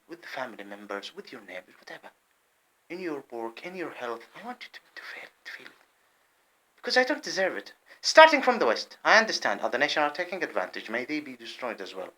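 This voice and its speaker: Man, fiery monologue to camera, repeats himself, jumps from loud bursts to low mutters, bright and tense tone. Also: low-quality recording. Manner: heated, repetitive, swings between loud outbursts and low muttering.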